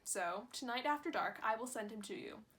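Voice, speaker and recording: Fretful widowed mother in a storybook, earnest and high-voiced, read by a woman. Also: expressive, normal speed, a bit bright. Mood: worried. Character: worried widowed Irish mother.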